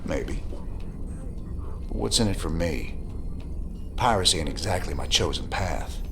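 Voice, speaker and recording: A drawling rebel commander. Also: male voice, deep voice, animated, a little slow, background noise. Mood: laconic. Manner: drawling, plainspoken. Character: weary rebel male commander, dry and laconic.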